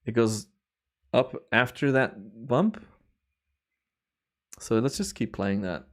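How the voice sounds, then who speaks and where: Relaxed, slightly hoarse man rambling on stream. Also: medium pitch, slow. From Belgium. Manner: relaxed, rambling, chatty with viewers. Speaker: timelapse photographer streaming. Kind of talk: relaxed live stream commentary.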